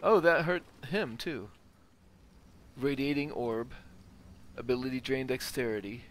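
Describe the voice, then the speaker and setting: A man's voice: medium pitch, unhurried, a bit bright, a little hiss or hum. A man giving casual gameplay commentary, thinking aloud as he works out his decisions. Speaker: gamer, host of a let's play.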